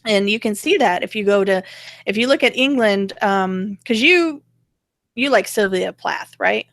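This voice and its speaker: A woman's voice: medium pitch, animated, a little slow, fairly bright tone, no echo, studio quality. A woman giving casual political commentary, explaining her opinions in a wry, conversational way. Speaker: co-host of political rant show.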